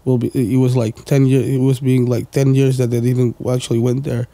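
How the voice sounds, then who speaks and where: A man's voice: mid-range voice, level tone, fairly quick, mellow, a little hiss or hum. A man, chatting casually as a podcast guest, rambling with lots of fillers and the odd swear word. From Portugal. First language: Portuguese. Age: 20s. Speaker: guest, music producer.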